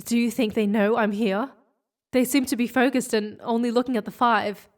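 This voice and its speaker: A woman's voice: a little high, fairly even intonation, normal speed, lightly acted. An actress playing a worried young woman in an audio drama, tense and full of urgent questions. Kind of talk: scripted audio drama acting.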